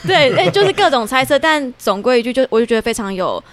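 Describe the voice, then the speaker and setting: A woman with a Taiwanese accent telling her story in an animated way as a guest in a casual podcast chat. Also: high voice, brisk, no echo. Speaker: guest, theatre writer and performer.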